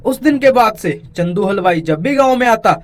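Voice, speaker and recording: A man narrating a scripted animated story for children as its storyteller. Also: sits high, moderate pace.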